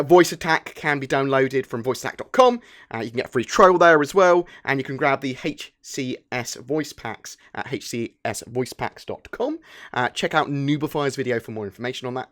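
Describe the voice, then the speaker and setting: A British man hosting an informal gaming news show, talking in a chatty, enthusiastic way. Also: mid-range voice. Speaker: host of a gaming news channel. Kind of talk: informal scripted news commentary.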